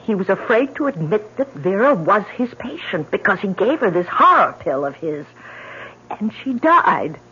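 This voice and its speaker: A woman's voice: medium pitch, sing-song, moderate pace, sharp tone, breathy edge, heavily acted. An actress playing an anguished, stubborn young woman grieving her sister in a radio mystery drama, with an emotional delivery. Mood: accusing, urgent. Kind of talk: old-time radio mystery drama acting. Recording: dull, noisy old radio recording.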